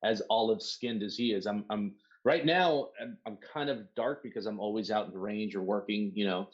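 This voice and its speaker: A man's voice: fairly low pitch, normal speed, lo-fi sound. A middle-aged man from Southern California whose first language is Spanish, chatting casually on a podcast and swearing freely as he tells stories. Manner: swears freely, storytelling with mock quotes. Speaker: podcast guest.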